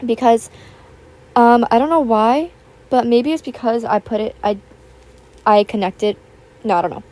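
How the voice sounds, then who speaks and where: A young woman, raspy from just waking up, rambling chattily over a voiceover and recorded at home on a built-in tablet mic. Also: fairly high pitch, unhurried, a little mellow. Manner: rambling, filler-heavy, chatty. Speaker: YouTuber. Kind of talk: casual chat voiceover. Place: home, with household noise. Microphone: built-in tablet microphone.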